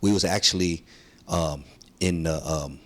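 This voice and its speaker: A man from San Francisco telling his life story as an interview guest, in a heartfelt, slangy way with many pauses and ums. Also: sits low. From San Francisco, USA. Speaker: guest, community activist. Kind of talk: relaxed storytelling conversation.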